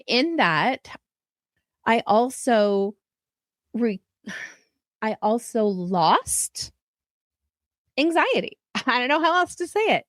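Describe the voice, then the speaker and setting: A woman's voice: a little high, big pitch swings, slow, long pauses, no room sound. A middle-aged woman hosting a podcast, speaking casually, affirming her guest and telling personal stories with frequent laughter. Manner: warm, affirming, laughs often, storytelling. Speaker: podcast host. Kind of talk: casual interview conversation.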